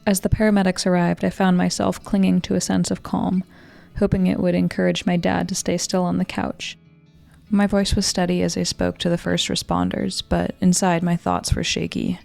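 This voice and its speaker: Low, creaky young American woman narrating evenly. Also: level tone, a little mellow. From Colorado, United States. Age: 20s. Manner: measured, reflective storytelling. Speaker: narrator of a personal story. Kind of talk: scripted first-person narration. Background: music.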